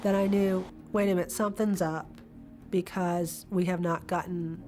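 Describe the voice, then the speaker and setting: Low-voiced female interviewee over loud music. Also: fairly even intonation, normal speed. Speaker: passenger interviewee. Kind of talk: conversational documentary interview. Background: loud background music.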